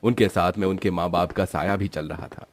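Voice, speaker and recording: A man's voice: low voice, fairly even intonation, brisk, slight background noise. A man narrating a scripted cartoon story in storybook fashion.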